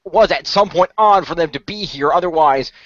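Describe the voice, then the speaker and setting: A man's voice: a little high, moderate pace, lo-fi sound, a loud crowd. A man improvising in-character dialogue in a radio role play, explaining things in a matter-of-fact way.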